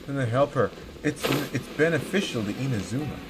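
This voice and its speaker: A man improvising replies as he plays a video game, halting and repeating his phrases. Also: mid-range voice, lively intonation, noisy background. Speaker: game streamer. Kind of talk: improvised reactions talking along with a game. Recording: game music behind the voice.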